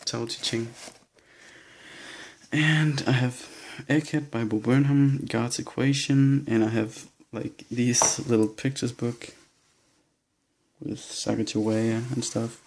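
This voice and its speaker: A young man speaks English with a Danish accent, working through his book collection in a halting, unsure way. His voice stays low in energy and flat in tone, with a gravelly fry underneath. He sounds slightly shaky and nervous throughout. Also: a little hiss or hum. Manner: hesitant, halting listing with nervous laughs. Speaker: vlogger. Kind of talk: casual bookshelf tour.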